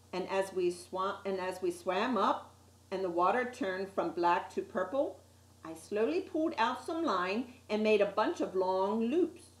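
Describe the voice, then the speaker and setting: A woman reading a children's picture book aloud with dramatic, deliberate emphasis on key words. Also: medium pitch. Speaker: storytime reader. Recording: roomy, echoey sound.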